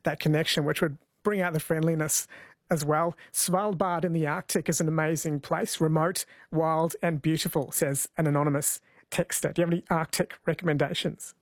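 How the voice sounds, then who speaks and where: Male interview host, slightly high voice, conversational, close dry studio sound. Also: steady tone, normal speed, dry recording. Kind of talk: conversational interview, reading listener texts.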